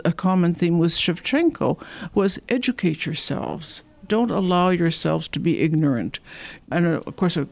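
An admiring museum board member leads a guided tour and speaks eagerly about what is on display. The recording is dry, with no room echo. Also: female voice, on the low side, a little mellow. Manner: enthusiastic, admiring.

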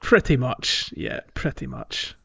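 A man hosts a relaxed gaming podcast in a medium-pitched voice. He explains things hesitantly, letting thoughts trail off. The recording is a little dull and lo-fi. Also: slow, creaky, breathy edge. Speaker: podcast host. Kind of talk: casual gaming podcast chat.

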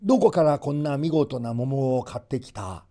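A man voicing a good-natured, easily amazed old man in a folktale, hearty and exclamatory with drawn-out words. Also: mid-range voice, expressive, dark tone, lightly acted. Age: older. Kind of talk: storybook character voice.

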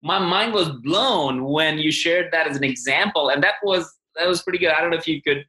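A man's voice: on the high side, a little fast, pushed and bright. A man hosting a casual interview, chatting informally as he sets up questions for his guest. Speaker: podcast host. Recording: echoey, roomy sound.

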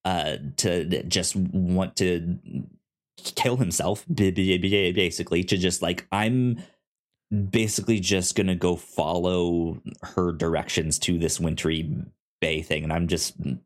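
Low-voiced, halting male podcast co-host. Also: fairly even intonation. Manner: halting, stammering, repeats word starts. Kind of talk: casual discussion of an audio drama.

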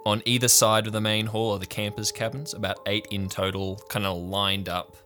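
Guy running a tabletop game, describing stuff, deepish voice but bright. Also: little pitch movement, normal speed, faint music behind. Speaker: game master of an improv show. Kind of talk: improvised tabletop game narration.

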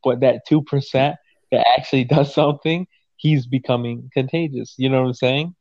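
A man's voice: mid-range voice, steady tone, normal speed, a little mellow, dry recording, lo-fi sound. A man in his twenties talking casually and emphatically, with lots of swearing and repeated "you know what I'm saying.". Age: 20s. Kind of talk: casual conversation.

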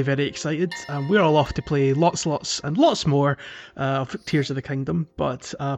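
A man's voice: mid-range voice, a little mellow. A man hosting a gaming podcast in a casual, chatty and jokey way. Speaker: podcast host.

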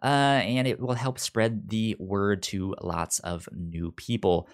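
A man's voice: fairly low pitch, takes it slowly. A man hosting a podcast in a casual, chatty style, with a stutter that repeats sounds and syllables. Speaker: podcast host.

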